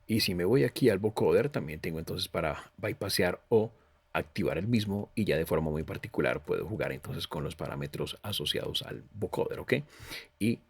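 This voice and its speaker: A man's voice: low-pitched, expressive, moderate pace, husky. A man presenting a tutorial walkthrough in an explanatory way, often repeating and rephrasing himself. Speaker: presenter of a music software review. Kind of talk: tutorial walkthrough narration in Spanish.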